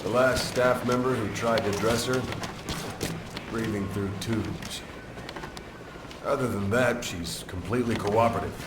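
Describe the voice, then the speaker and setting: An actor playing a sombre military officer in a video game cutscene, speaking in measured, clipped phrases. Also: male voice, fairly low pitch, echoey room, recorded from a distance, background noise, natural voice. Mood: grave, guarded. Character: sombre male military intelligence officer explaining a strange prisoner.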